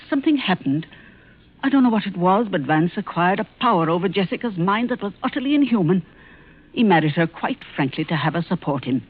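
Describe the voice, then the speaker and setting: An actress playing a dry, protective, sharp-tongued older aunt in a 1940s radio drama. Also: female voice, lowish voice. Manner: dry, tart, deliberate. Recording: dull vintage radio broadcast recording.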